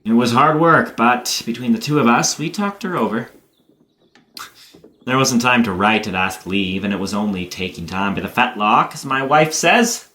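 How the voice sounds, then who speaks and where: A man acting in an audio play as a playful, boyish young gentleman with an animated, bantering manner. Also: medium pitch, normal speed. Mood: cheerful, proud.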